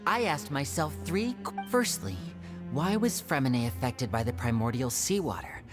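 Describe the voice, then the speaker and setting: A man's voice: medium pitch, animated, moderate pace, music in the background, close to an everyday voice. A man playing a clever, polite young sleuth in a video game, speaking in a methodical, articulate way. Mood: analytical.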